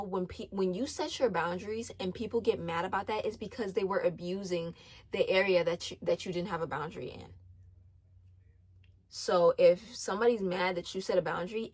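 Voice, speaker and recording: A woman's voice: on the low side, slightly rough. A twenty-year-old woman giving casual faith-based advice, earnest, with many ums, restarts and repeated phrases. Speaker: faith vlogger.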